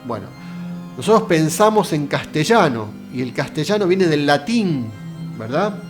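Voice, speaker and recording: An Argentine man with a somewhat raised voice explains philosophy in a chatty, direct way. He keeps asking the viewer rhetorical questions. Soft music plays faintly underneath. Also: fairly high pitch, sing-song, a little slow. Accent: Argentine Spanish. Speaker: philosophy lecturer. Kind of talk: casual lecture.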